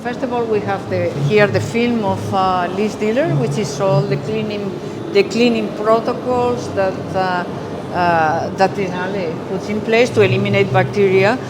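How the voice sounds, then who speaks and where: Spanish-accented woman curator over clear background hum. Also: mid-range voice, fairly even intonation, normal speed. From Spain. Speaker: exhibition curator, architecture professor. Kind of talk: guided exhibition walkthrough. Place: exhibition gallery, indoors.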